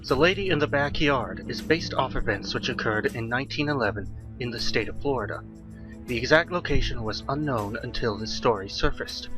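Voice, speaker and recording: A man's voice: mid-range voice, lively intonation, a little fast, rough recording. A man narrating a horror audiobook in a dramatic, scripted style. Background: faint music.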